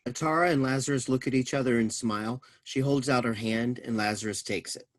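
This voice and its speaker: A man's voice: medium pitch, a little fast, no echo. A man giving scripted narration as the reader of stage directions.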